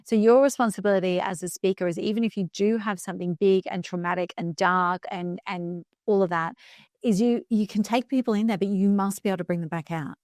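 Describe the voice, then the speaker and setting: A woman in her fifties talking earnestly about speaking and recovery, slowing down to stress key phrases. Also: mid-range voice, fairly even intonation, a little mellow, dry recording, clean studio sound. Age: 50s. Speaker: guest speaker coach. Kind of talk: reflective podcast conversation.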